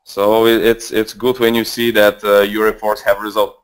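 A man's voice: lowish voice, little pitch movement, moderate pace, clean tone, low-quality recording. A Bulgarian man in his twenties with a Bulgarian accent, presenting a live trading webinar in a casual, rambling way full of fillers and restarts, speaking into a headset mic. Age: 20s. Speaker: trading mentor.

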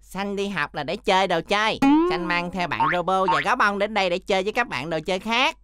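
A woman's voice: medium pitch, brisk, a bit bright, smooth, dry recording, faint music behind, natural voice. A woman playing a naive, stubborn, playful little boy creature in a children's cartoon. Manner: childlike, refers to self in third person.

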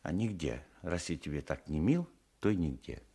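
An actor playing a querulous old peasant husband, hesitant and self-justifying, in a 1980s Soviet radio drama. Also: male voice, a bass voice, big pitch swings, normal speed, gravelly, warm tone, husky, full character voice. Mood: sulky. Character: querulous, battered old peasant husband, meek but stubborn.

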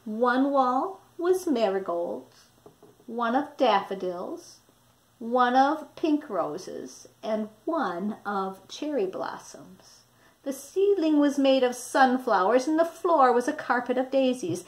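A middle-aged woman narrating a children's storybook expressively, with dramatic, deliberate emphasis on words. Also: a little high, animated, low-quality recording. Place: small indoor room with wall displays.

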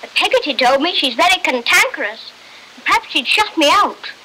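A man's voice: very high-pitched, very animated, moderate pace, bright, forceful tone, exaggerated voice, rough recording. A boy actor playing an anxious, polite young boy in 1930s film acting. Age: child. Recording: hissy old film soundtrack.

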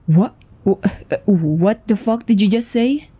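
A woman's voice: medium pitch, unhurried, dark tone. A woman voice actor playing a tense, foul-mouthed, commanding woman with a secret in a dramatic audio roleplay, urgent and swearing. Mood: panicked, angry. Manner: swearing, urgent, breathy sighs and stammers. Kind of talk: dramatic ASMR roleplay acting.

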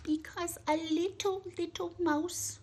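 A middle-aged woman hosting storytime, reading to children in an expressive, drawn-out way with playful emphasis. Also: very high-pitched. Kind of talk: reading a picture book aloud to children. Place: indoors in a home room.

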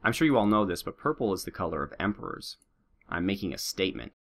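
Man, gameplay commentary, joking, a bit nasal from a cold, sore throat, bright forceful tone. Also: deep voice, fast talker. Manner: animated, joking, talks to his troops and viewers. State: slightly sick, a bit nasal. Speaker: let's play host.

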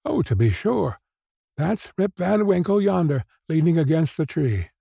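A man's voice: on the high side, animated, normal speed, vocal fry, mellow, husky, no room sound, clean studio sound, pitched above the performer's own voice, heavily acted. A man voicing a gossiping villager who answers offhand and exclaims in a storybook reading. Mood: exclaiming.

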